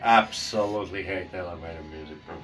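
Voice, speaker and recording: Finnish male traveller, thirties, lowish voice, casual banter, caught away from the phone with music behind. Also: quick pace, fairly bright tone, recorded from a distance. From Finland. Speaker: traveller, vlogger's friend. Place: indoors in hotel lift, halls and room. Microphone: away from a handheld phone.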